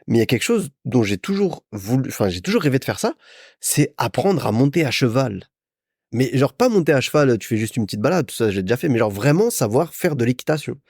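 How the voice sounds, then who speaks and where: Lively young Frenchman chatting casually. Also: mid-range voice, a little fast, husky, no room sound, studio quality. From France. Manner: chatty, informal, addresses listener as a friend. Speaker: podcast host. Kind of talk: casual solo podcast monologue, storytelling.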